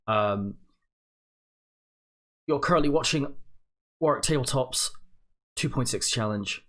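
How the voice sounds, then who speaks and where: A man's voice: on the low side, a bit bright. A young man hosting a charity livestream, chatting through casual announcements in a hesitant, unscripted way. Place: indoor home streaming setup.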